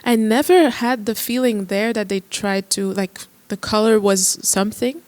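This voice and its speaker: A woman's voice: mid-range voice, moderate pace, a little mellow, dry recording. A woman musician on a discussion panel, speaking earnestly and restarting her phrases as she thinks. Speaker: musician guest.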